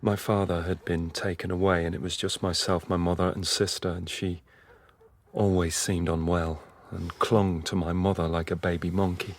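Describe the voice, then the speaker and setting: An actor playing a weary, haunted middle-aged dissident in an intimate, brooding radio drama style. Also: male voice, low-pitched, level tone. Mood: guilty, grieving. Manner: slow, halting, brooding. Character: weary, haunted male dissident confiding memories and fears.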